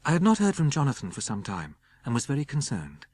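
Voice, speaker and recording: A man voicing an anxious young Englishwoman confiding in her journal, in a careful, gentle, worried audiobook reading. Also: mid-range voice, wildly swooping pitch, fast, slightly rough. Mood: quiet unease.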